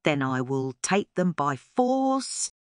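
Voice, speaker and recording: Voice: mid-range voice, big pitch swings, takes it slowly, clean tone, dry recording, clean studio sound. A narrator voicing a selfish, grumbling space creature in a children's story, demanding and greedy. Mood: menacing.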